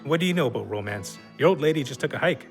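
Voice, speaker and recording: A man's voice: medium pitch, lively intonation, racing, creaky, soft background music. An actor in an audio drama playing a bossy, goading, foul-mouthed man who needles his friends with sarcasm. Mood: scornful, needling. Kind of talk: gritty contemporary audio drama dialogue.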